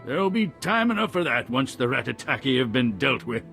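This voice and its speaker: A wry man in command during a sci-fi game crisis. His mid-pitched delivery swings widely, dry and firm, over faint music. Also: sing-song, fairly quick, creaky, fairly bright tone. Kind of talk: video game cutscene acting.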